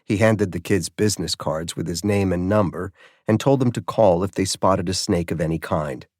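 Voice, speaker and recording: A man's voice: sits low, moderate pace, a little mellow, dry recording, studio quality. A man reading scripted narration of a mystery story as an audiobook narrator.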